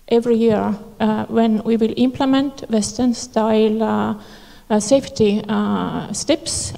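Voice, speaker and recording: Earnest woman with gravelly hall-echo voice. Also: on the high side, dark tone. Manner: halting, earnest, many filler pauses. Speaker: audience member asking a question. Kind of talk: emotional plea from the floor. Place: conference hall. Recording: hall reverb in a large venue.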